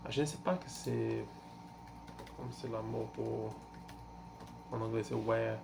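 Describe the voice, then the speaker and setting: A young man from Brazil speaks French with a Brazilian Portuguese accent at a slow, unhurried pace. His voice carries a gravelly edge, and he keeps stopping to hunt for words and restart his sentences. A faint hiss of noise sits under the recording. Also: lowish voice, steady tone. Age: 20s. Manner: halting, searches for words with many fillers and restarts. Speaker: language learner vlogger. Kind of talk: casual self-introduction.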